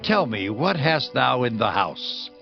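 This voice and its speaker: A man's voice: mid-range voice, sing-song, measured pace, gravelly, lo-fi sound, over music. An actor playing a solemn, commanding male prophet in a dramatized scripture recording, speaking in formal, declamatory phrases. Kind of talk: dramatized scripture audio acting.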